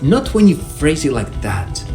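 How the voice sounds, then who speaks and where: An actor playing an earnest, articulate police consultant who muses in an academic way, in video game dialogue with music behind him. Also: male voice, fairly high pitch, wildly swooping pitch, unhurried, mellow, heavily acted. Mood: curious, musing. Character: earnest, intellectual male police consultant given to theorizing.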